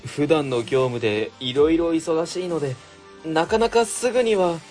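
A man playing an earnest, polite young junior office worker who explains things excitedly in a comic radio drama. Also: fairly high pitch, normal speed, soft background music, close to an everyday voice. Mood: apologetic. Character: earnest, eager, polite young male junior civil servant.

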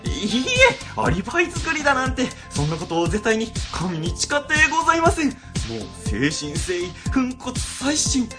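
A man's voice: very high-pitched, big pitch swings, moderate pace, hardly any pauses, music in the background. A man playing a middle-aged civil servant boss in a comic radio drama, chatty, easily flustered and ingratiating. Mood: nervous, fawning.

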